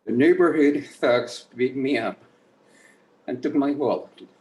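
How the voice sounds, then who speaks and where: Man acting very old Mexican man, resigned, weary, mid-pitched. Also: unhurried, warm tone, lightly acted, rough recording. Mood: subdued. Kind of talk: screenplay table read.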